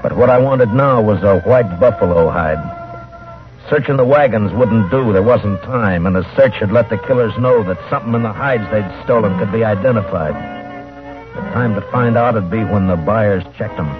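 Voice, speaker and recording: A stern western lawman, acted by a man, speaks low with terse authority, narrating his own story. It sounds like a muffled old radio drama with music behind. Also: moderate pace, natural voice. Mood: grave narration. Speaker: lead actor and narrator.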